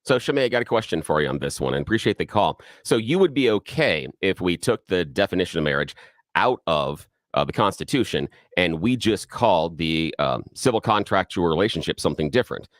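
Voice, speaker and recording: A male talk-radio co-host with a very low voice and lively, swinging intonation argues point by point with a caller. His delivery is probing and insistent. He sounds close and dry on a studio microphone. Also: brisk, no echo. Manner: probing, argumentative, leads the caller step by step.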